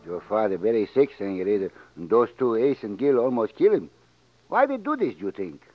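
An actor playing a loyal, comic Mexican cowboy sidekick in an old radio western, with a heavy Mexican Spanish accent and broken English. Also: male voice, on the low side, sing-song, a little fast. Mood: concerned. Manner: broken English, speaks of himself by name. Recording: noisy, muffled vintage radio recording.

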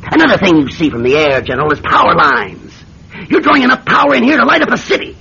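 A man's voice: very high voice, wildly swooping pitch, fast talker, bright, forceful tone, slightly rough, exaggerated voice. A man acting a shrewd, persistent middle-aged senator and old pilot in a radio drama, questioning insistently in a folksy, hesitant way. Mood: pressing. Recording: dull, noisy vintage radio recording.

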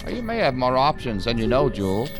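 A man's voice: medium pitch, very animated, fast talker, soft background music, natural voice. An actor playing a wry, flirtatious federal agent in his seventies in an audio drama, with playful, theatrical delivery. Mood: wry, reflective. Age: 70s. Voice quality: creaky.

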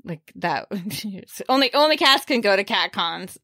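A woman's voice: mid-range voice, animated, unhurried, pushed and bright, dry recording, studio quality. A woman hosting a book podcast in casual chat, bubbly and talkative, laughing often. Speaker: podcast host.

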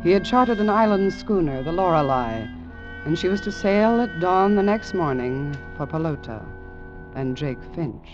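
An actor playing the wry narrator of an old-time radio suspense drama. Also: male voice, a little high, lively intonation, normal speed, natural voice. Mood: wry, pitying. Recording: muffled old radio recording with music.

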